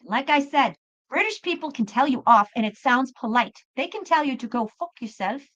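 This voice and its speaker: A woman's voice: high-pitched, expressive, normal speed, a bit bright. A woman hosting a live tarot reading, chatting casually with viewers in an emphatic way and often repeating her phrases. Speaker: host and tarot reader. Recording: dull lo-fi live stream audio.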